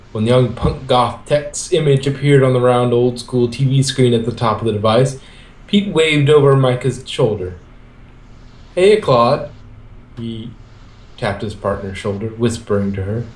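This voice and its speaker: A man of average pitch reads a fan-written story aloud. He moves between narration and lines of dialogue. The sound is echoey, like an amateur recording in a bare room. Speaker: fan fiction reader.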